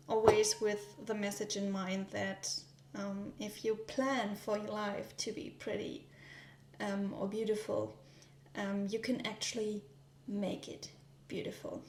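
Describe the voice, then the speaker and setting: A German woman with a German accent, vlogging in a gentle, hesitant way with frequent ums while baking in her home kitchen. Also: mid-range voice, echoey room, a little hiss or hum. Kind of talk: soft-spoken vlog narration.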